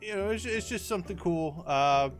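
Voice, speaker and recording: A man playing a goofy, dazed superhero in an improvised Minecraft roleplay, talking in a halting, bemused and earnest way. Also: sits high, lively intonation, faint music behind. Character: dazed goofy male superhero, formerly brainwashed villain.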